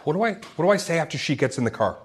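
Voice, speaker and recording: A man playing a shy, nervous teenage boy in a comic script read, husky and stammering. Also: on the high side, big pitch swings, slight background noise. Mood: anxious, pleading. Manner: stammering, halting, nervous laughs. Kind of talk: comic screenplay table read.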